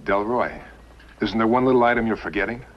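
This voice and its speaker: A man's voice: fairly low pitch, fairly quick, close to an everyday voice. An American actor in his thirties playing a wary, doubtful outlaw henchman in a 1950s TV western. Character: wary male outlaw henchman, doubtful but willing accomplice. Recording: dull old 1950s television soundtrack.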